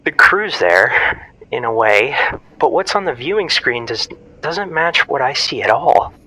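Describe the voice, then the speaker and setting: An actor playing a flippant, excitable spaceship partner in a sci-fi audio drama, with a casual, teasing manner. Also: medium pitch, measured pace, heavily acted, rough recording. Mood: brisk.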